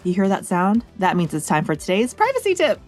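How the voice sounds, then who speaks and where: A woman's voice: mid-range voice, wildly swooping pitch, a little fast. A woman reading scripted podcast tips in a wry, jokey manner. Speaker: podcast host. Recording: faint music bed behind.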